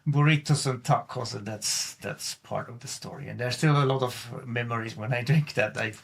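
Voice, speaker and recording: A man's voice: mid-range voice, normal speed, gravelly, slightly rough. A middle-aged man with a Swiss accent, a guest in a casual interview, speaking thoughtfully with frequent restarts and repeated words. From Switzerland. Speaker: guest climate scientist.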